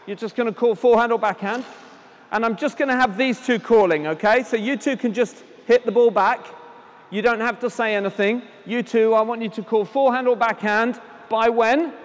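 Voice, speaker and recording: Man coaching kids in an echoey hall. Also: very high-pitched. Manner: encouraging, brisk instructions to children; projects his voice loudly. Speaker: tennis coach. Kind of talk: on-court coaching demonstration. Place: indoor tennis hall at a conference.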